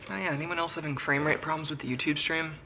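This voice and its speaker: A man's voice: on the high side, steady tone, fast, bright, forceful tone, slight background noise. Congested man rambling on a live stream. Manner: rambling, filler-heavy, thinking aloud. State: sick and congested. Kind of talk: casual live-stream chat. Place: home workshop.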